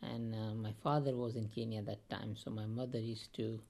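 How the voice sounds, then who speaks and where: Halting, gravelly-voiced man in his fifties. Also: on the low side, little pitch movement, a little mellow. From India. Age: 50s. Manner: halting, with many pauses and fillers. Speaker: oral history interviewee. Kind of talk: recalling his life story.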